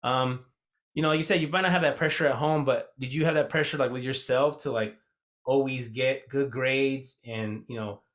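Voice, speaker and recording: A man's voice: mid-range voice, steady tone, normal speed, a bit bright. A man hosting a casual podcast interview, a Spanish teacher whose first language was Spanish, talking in a rambling way full of fillers and restarts. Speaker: podcast host.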